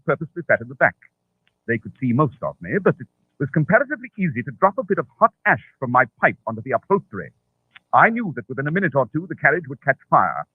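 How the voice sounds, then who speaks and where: Clever self-satisfied detective, urbane, husky, animated intonation, vintage radio drama, tinny recording. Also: male voice, medium pitch, no room sound. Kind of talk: 1940s radio drama acting.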